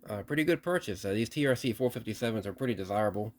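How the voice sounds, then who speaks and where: A man giving a casual hands-on demonstration and explanation in a halting, repetitive, explanatory way, like a repair hobbyist presenting a video. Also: on the low side, expressive.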